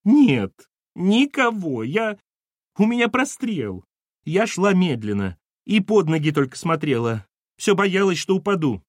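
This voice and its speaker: A man's voice: medium pitch, very animated, moderate pace, a little mellow, husky, dry recording, studio quality. A man voicing a flustered older housekeeper woman, self-pitying, lamenting and breaking off in sobs, in an audiobook. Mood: pleading. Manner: sobbing, lamenting, breaking off mid-sentence.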